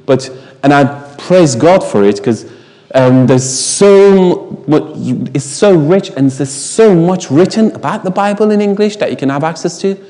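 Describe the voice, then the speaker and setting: A man's voice: a little high, expressive, measured pace, dark tone, in a large hall. A man whose first language is Farsi, preaching a sermon in an emphatic way, asking the congregation rhetorical questions.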